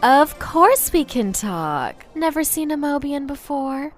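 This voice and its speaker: A woman's voice: high-pitched, very animated, slow, faint music behind, heavily acted. A woman playing a sassy, teasing cartoon bat in an audio drama.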